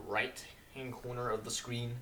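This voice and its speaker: A man's voice: mid-range voice, level tone, measured pace, fairly bright tone, low-quality recording. A man chatting casually as a co-host, with background noise. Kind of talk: casual chat.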